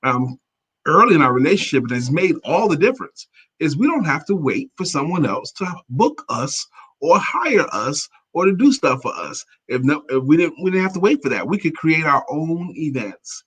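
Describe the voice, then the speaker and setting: A man's voice: on the high side, sing-song, moderate pace, fairly bright tone, slightly rough. A middle-aged man with an American accent, co-hosting a talk show in a casual conversational style and reading out comments from viewers.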